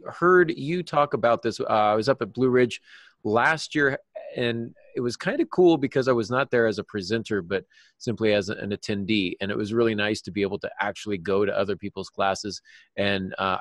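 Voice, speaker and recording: Guy cohosting a podcast, kinda low voice, dry close sound, rambly and self-deprecating. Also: a bit bright, no room sound. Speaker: podcast co-host and author. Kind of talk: casual chat.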